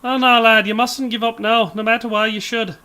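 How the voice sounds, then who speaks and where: A man's voice: very high-pitched, little pitch movement, brisk, pushed and bright, a little hiss or hum, pitched above the performer's own voice. A man putting on a playful, jokey Irish-style voice while streaming a game. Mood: coaxing. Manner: playful, sing-song stage Irish lilt.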